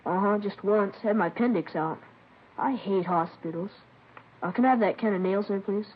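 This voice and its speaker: A woman's voice: mid-range voice, moderate pace, a little hiss or hum, lightly acted. A woman playing a runaway boy passing himself off as another child, chatty and evasive, in a 1950s radio drama. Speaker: boy impostor. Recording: dull vintage radio recording.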